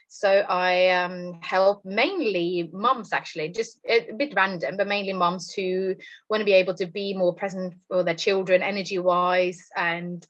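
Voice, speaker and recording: A woman's voice: on the low side, fairly bright tone. A woman in her thirties from Norway with a Norwegian accent, chatting openly and reflectively as a podcast guest. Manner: chatty and reflective, open about herself. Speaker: podcast guest, nutrition coach. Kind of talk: casual chat.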